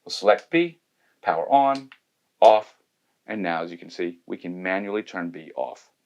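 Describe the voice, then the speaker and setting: Guy with a lowish voice explaining mic gear step by step, methodical. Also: animated, moderate pace, a little mellow, muffled recording. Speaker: tech reviewer demonstrating gear. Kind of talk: hands-on product demonstration.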